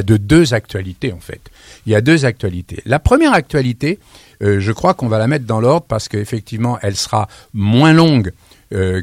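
Male radio presenter, mid-pitched and very animated, chatty, digressive, close dry studio sound. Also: no room sound. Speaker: radio host. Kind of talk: lively radio show presenting and interviewing. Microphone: studio broadcast microphone.

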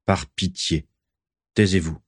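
A man's voice: lowish voice, moderate pace, no echo, studio quality, natural voice. A man voicing a harsh old aristocratic father in a storybook, contemptuous and biting. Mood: weary. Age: older.

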